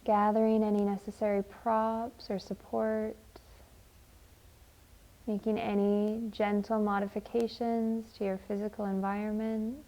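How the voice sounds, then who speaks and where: A woman leading a guided meditation in a gentle, measured, deliberate way. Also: mid-range voice, level tone, muffled recording. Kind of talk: slow, soothing guided meditation and poem reading.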